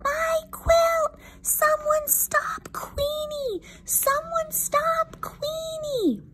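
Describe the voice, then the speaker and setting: Woman putting on a tiny, high-pitched timid quail. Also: drawn-out words, exaggerated voice. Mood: distressed. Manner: hushed, pleading, thin little voice. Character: timid, quiet, polite little quail from a storybook.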